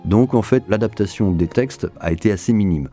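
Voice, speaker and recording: Male director, low-pitched interview voice, explanatory and repeating for emphasis, light music underneath. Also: brisk. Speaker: audio drama director being interviewed. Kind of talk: reflective interview talk.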